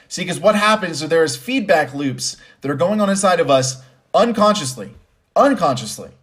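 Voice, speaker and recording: A man giving conversational motivational commentary with personal stories, stressing points by repeating phrases. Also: fairly high pitch. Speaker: host and commentator.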